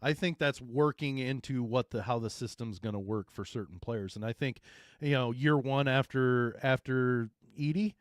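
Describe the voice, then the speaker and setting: A deep-voiced man talks sports in a loose, rambling way, restarting sentences and then pressing a point hard. His voice sits at an ordinary pitch and is recorded close and dry, with no room sound around it. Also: moderate pace, no room sound. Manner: rambling, restarts often, emphatic. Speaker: co-host, former coach. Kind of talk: casual sports talk.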